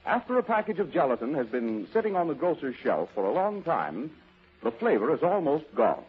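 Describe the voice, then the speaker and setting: A man's voice: fairly high pitch, sing-song, brisk, slightly rough, muffled recording. A man announcing formally in the style of 1930s American radio, on an old broadcast recording.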